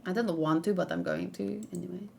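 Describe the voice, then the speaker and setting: A woman streaming live, chatting casually and thinking aloud as she reacts and answers her chat, with plenty of pauses and restarts. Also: on the low side, steady tone, creaky, darkish tone.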